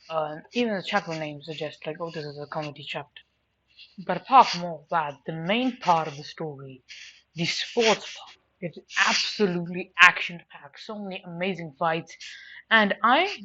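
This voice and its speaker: A woman's voice: fairly low pitch, pushed and bright. A woman giving a casual, unscripted opinion review, rambling and repeating words emphatically for stress. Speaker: anime and manga reviewer. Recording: dull lo-fi mic with faint noise.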